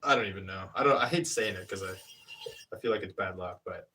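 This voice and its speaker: A fairly low-pitched man in his thirties talks loosely through what his hands are doing at a pottery wheel, trailing off and restarting as he goes. He sits back from the microphone, so his voice comes across slightly distant. The tone is easygoing live-stream chatter. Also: fast talker, very noisy. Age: 30s. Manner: rambling, hesitant, explains hands-on steps while working. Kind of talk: casual live-stream chat while demonstrating. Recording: voice picked up from a distance.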